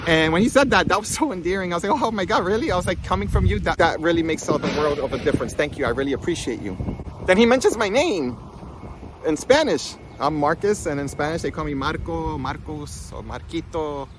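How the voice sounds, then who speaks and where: A man giving an informal spiritual talk with personal stories, in an animated, conversational manner. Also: fairly high pitch, fairly quick, a little hiss or hum. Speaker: spiritual healing coach and vlogger.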